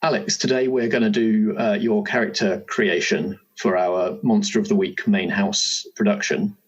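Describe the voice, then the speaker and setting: A man's voice: lowish voice, steady tone, normal speed, breathy edge, muffled recording. A man hosting a podcast in a casual, chatty style, recorded remotely at home. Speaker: host.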